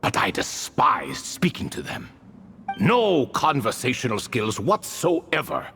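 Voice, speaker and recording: An ancient, talkative king of spirits in a fantasy game, musing in formal, old-fashioned phrases. His mid-pitched voice swoops theatrically from line to line. It rings through a large echoing hall with faint noise behind it. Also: very animated, takes it slowly, creaky, sharp tone, full character voice. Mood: disdainful, chatty. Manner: theatrical, formal and archaic, musing, rhetorical questions. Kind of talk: video game character acting.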